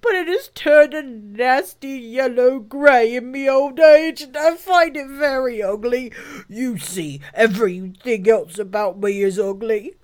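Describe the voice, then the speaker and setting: A woman voicing a big, angry old rhinoceros from a storybook, self-pitying and weepy, drawing out words melodramatically in a booming voice. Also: unhurried, natural voice. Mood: tearful, self-pitying.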